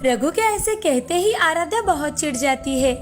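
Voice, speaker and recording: A woman narrating a children's moral story with a steady, expressive delivery. Her voice sits high. Faint background music runs under the narration. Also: moderate pace.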